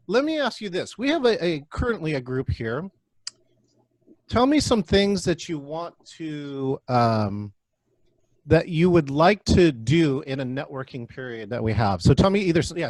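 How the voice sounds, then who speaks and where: A man's voice: on the high side, darkish tone, dry recording. A man from California leading a video call meeting, upbeat and chatty with lots of restarts. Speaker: meeting facilitator. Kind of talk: casual video call hosting.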